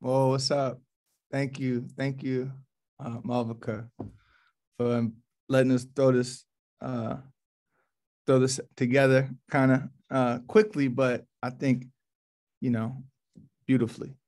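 A man in his thirties from New Orleans giving a casual, slangy, halting introduction on a video call from a small office. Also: mid-range voice, little pitch movement, a little mellow. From New Orleans, USA. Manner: laid-back, halting, slangy. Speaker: poetry reading curator. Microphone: video call, computer at arm's length.

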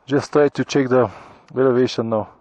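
A middle-aged Bulgarian man talking to his camera on a motorcycle trip, in halting, grateful English full of restarts, recorded outdoors on a helmet or handheld action camera. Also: medium pitch, steady tone, darkish tone, no room sound, lo-fi sound. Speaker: motorcycle travel vlogger. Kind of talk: casual travel vlog talk to camera. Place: high mountain pass outdoors. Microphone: action camera on helmet or in hand.

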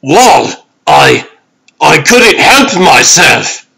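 A man voicing a sheepish, lovestruck male raccoon hero in a comic dub, halting and earnest. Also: on the high side, wildly swooping pitch, creaky, bright, forceful tone, heavily acted. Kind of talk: comic dub character acting.

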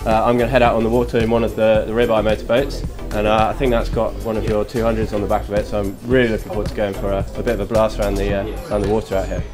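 A man in his thirties hosting casually at an indoor boat show stand, relaxed and hesitant, with fillers. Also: lowish voice, rapid-fire, few pauses, over music. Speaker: host. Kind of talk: casual interviewing at a trade show.

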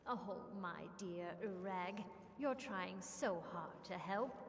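Condescending mage woman in a fantasy game, talks real slow, echoey and far from the mic. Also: on the low side, a crowd in the background. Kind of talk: video game character dialogue. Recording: distant, reverberant hall, murmur behind.

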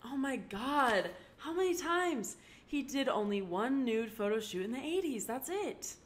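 A woman's voice: sits high, sing-song, a little slow, pitched above the performer's own voice. A woman voicing a heartbroken teenage girl who speaks tearfully and sniffles. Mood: wry.

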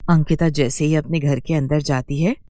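A woman reading scripted narration for an animated moral story. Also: sits low, moderate pace, dry recording, studio quality.

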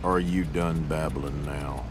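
A man's voice: very deep voice, fairly even intonation, takes it slowly, over music. A man voicing a big, scornful country tow truck bully with a Southern US accent in a cartoon video game. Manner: folksy, menacing, scornful. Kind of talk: animated video game acting.

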